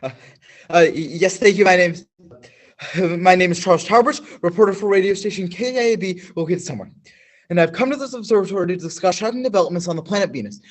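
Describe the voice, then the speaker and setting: A man's voice: high voice, quick pace. A young male student actor playing a nervous radio reporter in a stage radio play, stammering through his questions. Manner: stammering, hesitant.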